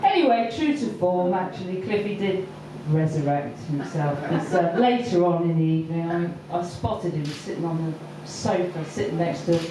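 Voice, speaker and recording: A woman doing stand-up, telling a story slowly with many ums and pauses. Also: fairly low pitch, lively intonation, noisy background. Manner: hesitant, lots of ums, slow deliberate delivery. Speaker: stand-up comedian. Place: comedy venue with audience laughter. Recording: echoey far-off live room recording.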